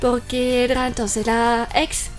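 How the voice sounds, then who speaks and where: French-accented woman, a bit high-pitched. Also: level tone, takes it slowly, soft background music. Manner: speaks in long explanations with fillers like "mira" and "entonces". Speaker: guest from a French cultural institute. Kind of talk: interview answers.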